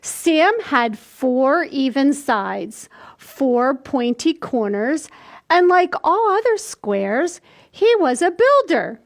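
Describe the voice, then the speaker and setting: An older woman in her sixties hosting a children's storytime, reading aloud and explaining things simply and deliberately for small children. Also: high-pitched. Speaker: library storytime host. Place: small indoor room.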